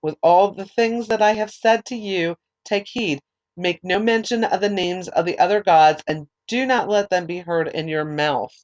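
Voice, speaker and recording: A woman leading a Bible study, reading scripture aloud at a measured pace and explaining it in hesitant, unscripted remarks. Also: lowish voice, darkish tone, rough recording. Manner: measured reading with hesitant, filler-filled commentary.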